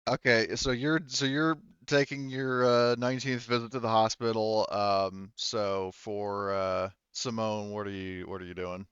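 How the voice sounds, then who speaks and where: Low, smooth-voiced man running a game. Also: fairly even intonation, fairly bright tone, dry recording, dull audio. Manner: halting, informal, loosely improvised delivery. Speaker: game master. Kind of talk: narrating a tabletop roleplaying game, casual.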